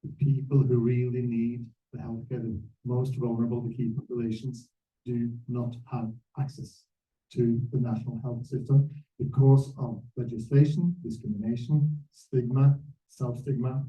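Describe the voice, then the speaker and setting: A man speaking as a panel moderator, giving a public talk in halting, emphatic short phrases. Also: mid-range voice, warm tone. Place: echoey room at a panel event. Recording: muffled, echoey room, distant mic.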